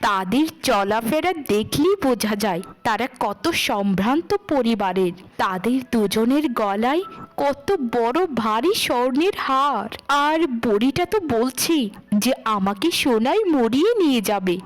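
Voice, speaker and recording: A woman's voice: a little high, expressive, a little slow, poor audio. A woman playing a vain, haughty young beauty in a children's cartoon, boastful, scornful and snappish. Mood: gloating.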